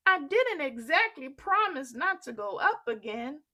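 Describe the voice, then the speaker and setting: Woman voicing a high, excitable young boy. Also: unhurried, full character voice. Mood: defensive. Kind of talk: children's storybook read-aloud.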